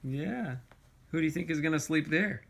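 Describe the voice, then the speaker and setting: A man reading a storybook aloud to a young child, expressively, pausing to chat and ask questions about the pictures. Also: fairly high pitch, vocal fry, fairly bright tone, breathy edge. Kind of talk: storybook read-aloud with chatty asides to a child.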